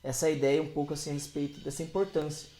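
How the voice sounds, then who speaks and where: A man hosts a church podcast as its pastor, his voice pitched a little high for a man. He asks long, winding questions in an earnest way. The room around him adds a noticeable echo. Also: level tone, fast, mellow. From Brazil. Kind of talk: casual podcast interview.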